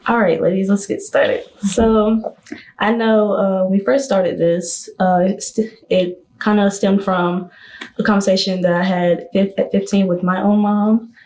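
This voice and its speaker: A young woman of twenty hosts a casual discussion among women. Her voice is warm and mellow. She speaks haltingly, restarting her sentences and leaning on fillers as she finds her questions. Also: medium pitch, flat delivery, low-quality recording. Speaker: discussion host.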